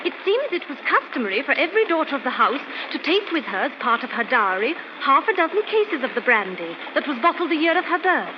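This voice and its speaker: Earnest, high-voiced young companion. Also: female voice, very animated, fast talker, few pauses. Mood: earnest, polite. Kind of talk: old-time radio mystery acting. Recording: noisy, muffled old radio recording.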